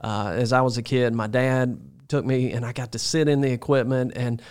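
A man's voice: mid-range voice, fairly even intonation, normal speed, darkish tone, clear, resonant tone. A man hosting an interview, asking friendly, curious questions in a casual conversation. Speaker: host.

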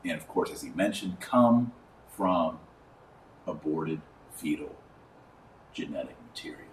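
A man hosting a podcast, giving live commentary and reading aloud in an emphatic, colloquial manner with dramatic pauses. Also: rumbling low, expressive. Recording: roomy, distant mic, faint background noise.

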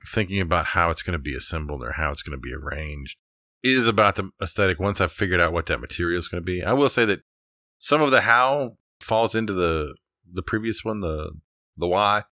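A man's voice: low-pitched, lively intonation, fairly quick, pushed and bright, no room sound, studio quality. A man with an American accent co-hosting a casual, conversational discussion. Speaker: podcast co-host, architecture teacher.

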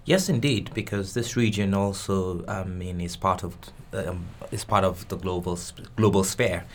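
Low-voiced man with Yoruba roots, halting answers. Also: slight background noise. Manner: thoughtful, with frequent restarts and repeated words. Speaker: guest expert. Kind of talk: interview answers.

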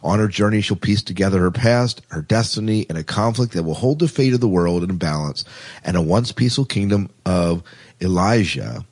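A man's voice: sits low, lively intonation, normal speed, a little mellow, slightly rough. A man hosting a podcast, chatty and rambling, reading out news items in a casual, self-deprecating way. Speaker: podcast host.

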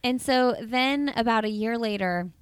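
A woman's voice: a little high, clear, resonant tone, no echo. A woman hosting a podcast, chatting and interviewing a friend in an enthusiastic, friendly way. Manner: chatty and enthusiastic, quick to laugh. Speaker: podcast host. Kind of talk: casual interview chat.

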